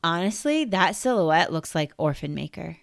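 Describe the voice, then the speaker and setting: A woman's voice: lowish voice, animated, normal speed, gravelly, no echo, clean studio sound. A woman co-hosting a podcast, chatting casually and playfully about comics, with whispered asides. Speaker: podcast co-host. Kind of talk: casual comic book chat.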